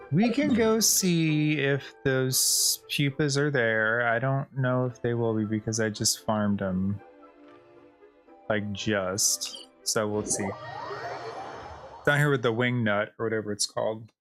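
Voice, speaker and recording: A man's voice: mid-range voice, takes it slowly, soft background music. A man giving casual, chatty gameplay commentary as a streamer, often addressing the game characters. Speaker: gaming streamer.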